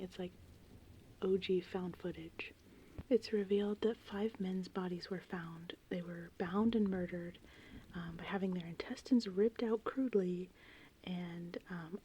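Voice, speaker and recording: A woman in her thirties whispering softly and breathily in an informal, rambling ASMR style. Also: fairly low pitch, slight background noise. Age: 30s. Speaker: ASMR channel host. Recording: steady rain and thunderstorm ambience behind. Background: gentle rain and thunder.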